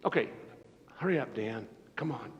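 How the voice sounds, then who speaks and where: A man in his fifties from Iowa, preaching a sermon with animated storytelling that swings between loud outbursts and quiet asides. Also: mid-range voice, normal speed. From Iowa, United States. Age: 50s. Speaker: evangelist preacher. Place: church sanctuary. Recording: echoey church hall, dull lo-fi live recording.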